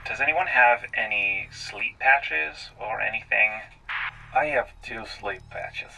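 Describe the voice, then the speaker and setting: A man's voice: mid-range voice, slow, gravelly, recorded from a distance, background noise. A man playing in a tabletop role-playing game, improvising casual in-character banter.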